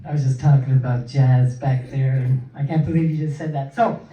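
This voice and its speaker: A woman with a deep voice, talking to a crowd before she sings, bubbly and laughing. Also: fairly even intonation, a little fast, warm tone. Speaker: guest singer. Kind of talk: chatty remarks to a crowd, then singing. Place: church hall at a commemoration event. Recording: echoey hall from far off.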